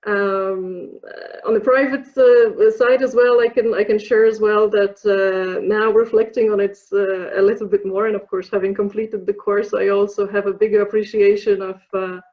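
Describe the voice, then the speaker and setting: A woman's voice: medium pitch, steady tone, normal speed, dull audio. A woman from Poland with a Polish accent, in her thirties, answering interview questions thoughtfully, with many fillers and restarts. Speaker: interview guest, program alumna.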